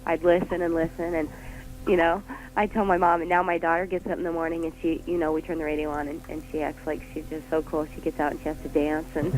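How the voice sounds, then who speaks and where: A woman's voice: deep voice, level tone, fast talker, darkish tone, rough recording. A twenty-year-old woman calling a radio show by telephone, chatting in a casual, youthful way. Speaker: radio phone-in caller.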